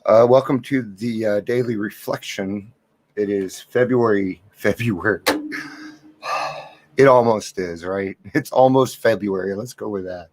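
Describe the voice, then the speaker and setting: Man, low-voiced, hosting a casual livestream; soft and worn out, rambling and self-deprecating. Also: unhurried, slight background noise. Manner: rambling, candid, self-deprecating, many restarts; talking softly on purpose. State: unwell and worn out. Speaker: livestream host of a recovery reflection. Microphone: computer mic with gain turned up.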